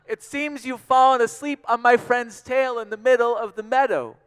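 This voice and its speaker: A man voicing a small, earnest male mouse from a storybook, calling out loudly and politely in a reverberant hall. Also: very high-pitched, steady tone, moderate pace, clear, resonant tone, pitched above the performer's own voice. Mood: loud, urgent. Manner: polite, pleading, projecting loudly. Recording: long echoing hall reverb.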